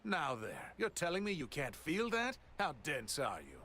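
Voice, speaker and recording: A man's voice: on the high side, huge pitch swings, normal speed, bright, forceful tone, a little hiss or hum, heavily acted. A man voicing a haughty, blunt god in an anime video game, with an imperious and dismissive manner. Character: haughty, bored, blunt male god of destruction.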